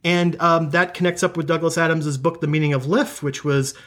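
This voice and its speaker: A man's voice: a little high, fairly even intonation, brisk, fairly bright tone. A man chatting as a podcast guest, rambling off on tangents with self-mocking humor. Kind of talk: casual podcast chat.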